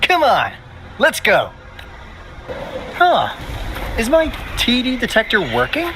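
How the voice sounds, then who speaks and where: A man's voice: very high-pitched, wildly swooping pitch, takes it slowly, over music, exaggerated voice. An actor playing a breezy, roguish young man in an anime game, playful and theatrical as he explains things. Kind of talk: anime game dialogue acting.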